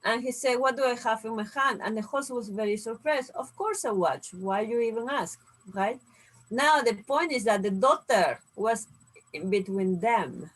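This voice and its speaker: A woman's voice: a little high, poor audio. A woman who grew up in Serbia and Peru, telling stories animatedly in casual conversation. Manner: storytelling, animated, laughs often. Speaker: co-host, inventor.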